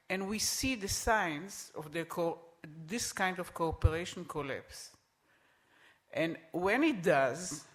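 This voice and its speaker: A middle-aged man speaking on a conference panel, emphatic and repeating his points, with halting restarts. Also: sits high, a bit bright. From Israel.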